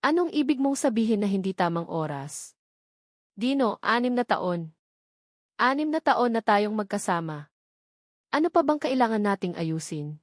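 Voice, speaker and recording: A woman's voice: mid-range voice, very animated, moderate pace, pauses often, no room sound, clean studio sound, lightly acted. A Filipino-accented woman voicing a possessive, scheming jilted fiancée in a romantic audio drama. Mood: angry, demanding.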